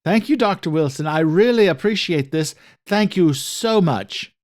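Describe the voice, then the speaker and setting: An eager young man in an audio thriller, acted out by a male narrator, pitched high and a bit breathless. He is anxious and very polite, pushing keenly to please. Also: expressive, a little slow. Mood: grateful.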